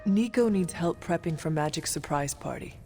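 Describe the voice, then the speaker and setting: Resurrected warrior woman, solemn, formal, measured, video game cutscene, music behind. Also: low voice, expressive, darkish tone. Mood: calm, reserved. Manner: formal, measured, avoids contractions.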